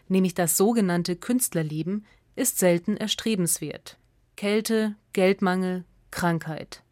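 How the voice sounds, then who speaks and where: A woman reads a scripted radio essay as narrator in a measured, articulate and factual way. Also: mid-range voice, a little mellow, no echo, studio quality.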